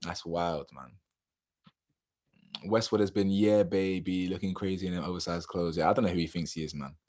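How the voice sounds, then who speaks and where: A young man, chatting casually on a live stream and reading out viewer comments, slangy and animated, his voice a little hoarse. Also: low voice, normal speed. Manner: slangy, animated, laughs often, drifts between loud bursts and mumbles. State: slightly hoarse.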